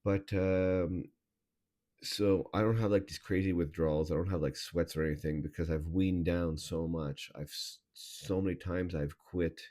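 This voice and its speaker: A man talking in a halting, casual confessional monologue, his voice raspy and nasal from a hangover, with many fillers and restarts. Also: sits low, steady tone, mellow. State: hoarse, nasal from a weed hangover. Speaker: vlogger.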